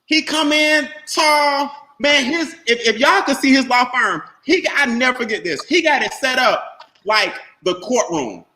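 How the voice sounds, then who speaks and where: Guy on a video call telling a wild story, real high bright voice, echoey room. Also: a little slow. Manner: animated, loud, laughing storyteller with slang. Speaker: host and trainer. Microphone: webcam or laptop mic on video call. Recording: echoey room, faint noise.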